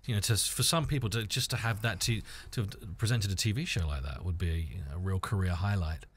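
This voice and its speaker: A man hosting a radio interview, upbeat and encouraging, keeping the talk moving with quick prompts. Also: sits low, a little hiss or hum. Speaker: radio host. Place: radio studio.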